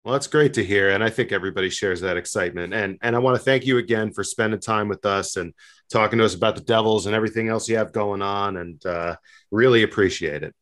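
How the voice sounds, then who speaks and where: Man, slightly low voice, podcast host, casual sports chat. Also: quick pace, fairly bright tone.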